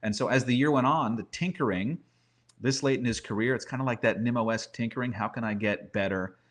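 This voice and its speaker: Male podcast guest with a broadcaster's background, medium pitch, talkative conversational sports analysis. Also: brisk.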